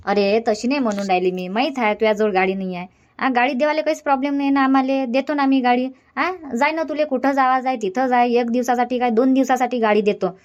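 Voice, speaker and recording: A woman's voice: a little high, steady tone, fast talker, lo-fi sound, lightly acted. A woman voicing a middle-aged village aunt with a Vidarbha Marathi accent, who lectures and scolds in long run-on stretches. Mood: firm.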